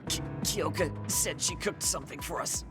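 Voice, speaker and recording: A man's voice: fairly high pitch, normal speed, natural voice. An actor playing a curt, defiant, proud young male soldier in a video game. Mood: weakened, groaning. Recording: music behind the voice.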